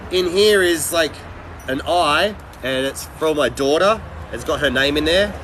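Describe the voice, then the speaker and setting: Male livestreamer, middle-aged, higher voice, blunt and rambling casual delivery, clear traffic noise behind. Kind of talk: casual live chat with viewers.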